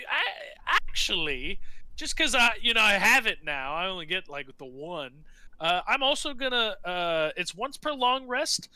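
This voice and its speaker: A man with a fairly high, very bright and forceful voice plays a tabletop game. He rambles casually and animatedly, improvising as he goes and swearing now and then. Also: clean tone, no room sound, rough recording.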